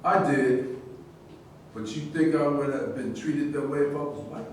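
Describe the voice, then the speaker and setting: A man's voice: on the high side, steady tone, measured pace, close to an everyday voice. A proud, scornful boxing champion played by a man speaks out with conviction. The staged reading is heard from far off in a very echoey, slightly noisy room. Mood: earnest. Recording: distant, very echoey room with noise.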